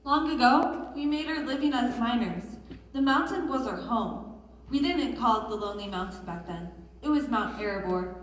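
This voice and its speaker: A woman's voice: on the high side, animated, moderate pace, slight background noise, natural voice. A woman playing a grieving dwarf miner, earnestly telling of a lost home in readers theatre. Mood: nostalgic. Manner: earnest, storytelling. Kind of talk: readers theatre stage performance. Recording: distant mic in echoey hall.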